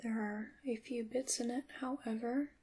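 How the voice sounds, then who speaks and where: A woman performing whispered ASMR as a gentle witch, speaking softly with slightly formal phrasing. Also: a little high, fairly even intonation, normal speed, husky. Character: gentle witch guiding a salt-making ritual.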